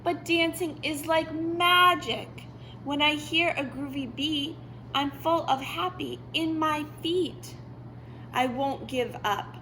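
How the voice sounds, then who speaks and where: A woman's voice: high-pitched, takes it slowly, bright, forceful tone, a little hiss or hum, voice pushed up, heavily acted, low-quality recording. A woman voicing an eager, hopeful boy cat from a picture book, with a bouncy, earnest manner. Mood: determined, hopeful. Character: eager, hopeful young male cartoon cat who loves dancing.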